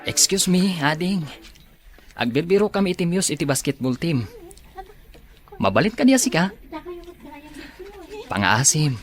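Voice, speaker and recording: A man's voice: a little high, sing-song, a little slow, pauses often. A man playing an earnest young basketball player in a Filipino radio drama, speaking politely and coaxingly. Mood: pleading.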